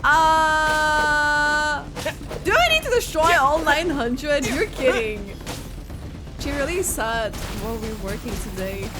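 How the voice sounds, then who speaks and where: A woman with a very high voice gives casual playthrough commentary. She is excitable and expressive, yet unhurried in her pace. Game music is clearly heard behind her. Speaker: gaming video creator reacting to a game.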